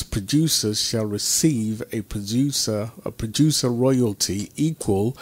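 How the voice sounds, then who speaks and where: A man hosting a radio talk show, with deliberate, hesitant phrasing as he reads and discusses. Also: lowish voice. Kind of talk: measured radio discussion and reading aloud.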